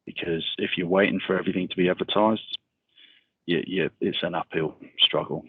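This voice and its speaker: A middle-aged man giving a casual webinar talk, speaking in a monotonous, rambling way with many fillers over a video call. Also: sits low, brisk, husky, no echo. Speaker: webinar speaker on military transition. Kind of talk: casual presentation. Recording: tinny video call audio.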